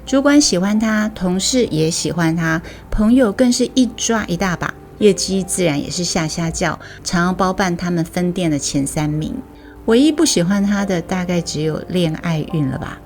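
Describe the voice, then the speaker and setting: A woman's voice: lowish voice, moderate pace, a little mellow. A woman with a Taiwanese accent, a storytelling host reading scripted narration. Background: music bed.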